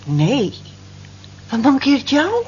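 Prodding, romantic girlfriend type, slow-paced, animated, dull old radio-drama sound. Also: high-pitched, full character voice. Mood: delighted, prodding. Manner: exclaiming, prodding. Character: Jess, nosy, romantic, encouraging female workmate. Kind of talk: Dutch radio drama acting. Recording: muffled, lightly noisy old radio recording.

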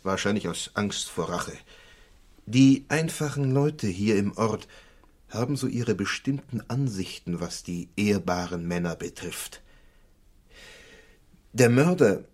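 An actor playing a shrewd, measured provincial police commissioner in a radio crime drama, deliberate and pointed. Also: male voice, lowish voice, expressive, a little mellow. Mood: grave, pointed.

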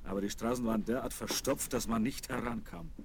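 Schauspieler als energischer Chef, leichtes Rauschen. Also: male voice, mid-range voice, fairly quick, lightly acted. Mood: impressed. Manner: authoritative, dictating orders. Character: important American boss, brisk, commanding, impressed. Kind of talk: 1970s German children's audio drama acting. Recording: vinyl rip with faint noise.

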